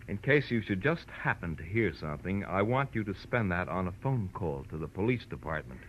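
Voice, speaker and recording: An American actor playing a businesslike, sardonic police detective lieutenant in an old radio crime drama. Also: male voice, deep voice, lively intonation, brisk. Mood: dryly sardonic. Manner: matter-of-fact, sardonic, official. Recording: muffled, noisy old radio recording.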